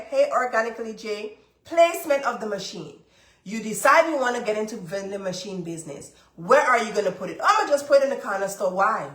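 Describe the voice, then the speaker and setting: A stuffed-up woman hosts a live stream and gives money tips with plenty of emphasis, circling back to hammer her main points. Her voice sits at an ordinary middle pitch, and the room around her rings with echo. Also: fairly bright tone. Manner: emphatic, repeats key points, talks straight to viewers. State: congested. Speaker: livestream host. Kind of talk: motivational money talk to a live audience. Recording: roomy, echoey room sound.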